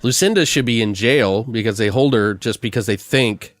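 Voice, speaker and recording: A man's voice: medium pitch, normal speed, dry recording, studio quality. A man hosting a podcast, thinking aloud in a casual, rambling, sweary way. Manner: rambling, profane. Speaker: podcast host. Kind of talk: casual improvised brainstorming chat.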